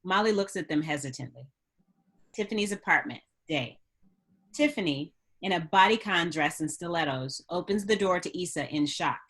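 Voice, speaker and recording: A woman's voice: fairly low pitch, expressive, normal speed, fairly bright tone. A woman narrating stage directions at a script table read.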